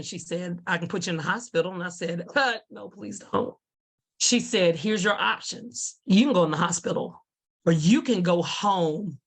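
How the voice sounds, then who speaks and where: A woman with a deep voice, a former kindergarten teacher, telling a lively, animated story on a video call.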